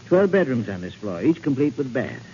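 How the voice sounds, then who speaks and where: An actor playing a nervous, courteous house seller who speaks hesitantly in a 1940s radio drama. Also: male voice, mid-range voice, very animated, brisk, dark tone. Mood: politely uneasy. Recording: muffled, hissy 1940s radio recording.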